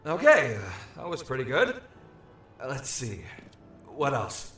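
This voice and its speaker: Audio drama: man to play a fretful young otherworldly shapeshifter, very animated inner monologue, roomy with music behind. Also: mid-range voice, a little slow, heavily acted. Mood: worried. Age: young adult. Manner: fretful, muttering to himself. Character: anxious young shapeshifter from another realm, overwhelmed.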